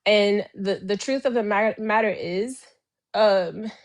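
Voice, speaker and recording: Haitian woman arguing politics, slow and deliberate, leans hard on her points. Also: medium pitch. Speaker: guest debater. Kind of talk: heated political debate.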